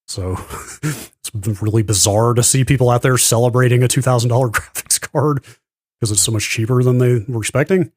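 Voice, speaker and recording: A man's voice: mid-range voice, a little fast, no room sound, studio quality. A man co-hosting a podcast, explaining things casually and in detail with careful qualifications. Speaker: podcast host. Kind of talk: casual tech news discussion.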